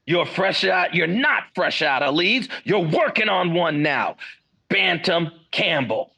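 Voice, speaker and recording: Casting: a steady police boss, fairly high bright voice with gravel, firm delivery. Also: male voice, slow pace, full character voice. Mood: advising. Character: calm police captain advising detectives. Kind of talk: 1940s radio acting.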